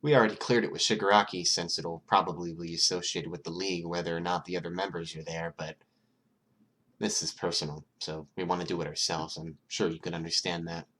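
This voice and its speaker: A serious young villain with a grudge , read by a man, in a low register. He is blunt and matter-of-fact, an audiobook character with business to settle. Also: fairly even intonation, brisk, a bit bright.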